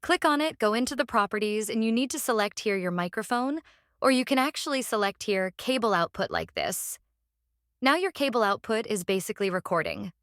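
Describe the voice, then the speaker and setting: A woman hosting a casual step-by-step software tutorial, instructing plainly and often checking in with the listener. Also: medium pitch, lively intonation, fairly quick, no echo, clean studio sound. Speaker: tutorial host.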